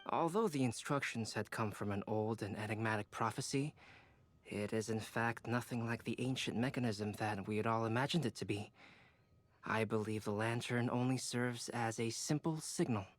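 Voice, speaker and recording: Man playing a calm, measured male scholar. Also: fairly low pitch, close to an everyday voice. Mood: calm, assured. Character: composed analytical young male scholar. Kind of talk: scripted video game acting.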